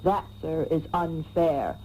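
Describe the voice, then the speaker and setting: Haughty, mellow-voiced heiress. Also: female voice, deep voice, slow pace, rough recording. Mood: indignant. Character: haughty, indignant young society heiress. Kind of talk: melodramatic comic radio soap acting. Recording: taped radio with faint hum.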